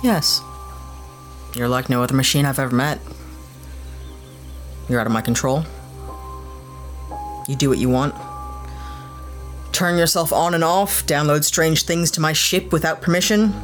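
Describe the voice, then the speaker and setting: Woman acting as blunt, low-voiced spaceship captain. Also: fairly even intonation, unhurried, pauses often, faint music behind. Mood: guarded, irritated. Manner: blunt, snappish. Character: irritable, sarcastic, distrustful female spaceship owner. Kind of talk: sci-fi audio drama acting.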